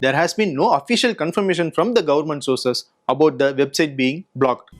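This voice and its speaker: A man reading out a scripted news statement in a measured way. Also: a little high, lively intonation, soft background music.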